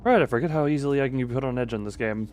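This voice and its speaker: Wry male streamer with a mid-pitched voice. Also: racing, a little mellow, slight background noise. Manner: wry, muttering to himself. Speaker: game streamer. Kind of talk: casual gameplay commentary.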